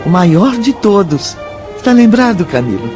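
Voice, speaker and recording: A man with a Brazilian Portuguese accent plays a male spirit companion who slowly recalls a place in a radio drama. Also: high voice, very animated. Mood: reminiscing. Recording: dull sound with music behind.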